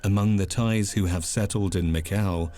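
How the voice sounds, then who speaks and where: A man narrating a documentary in a calm, measured, scripted way. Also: sits low. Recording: music underneath.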